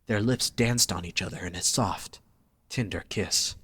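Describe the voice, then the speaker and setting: A man's voice: sits low, a little slow, sharp tone, husky, no room sound. A man narrating a scripted romantic story for an audio drama, reading dramatically.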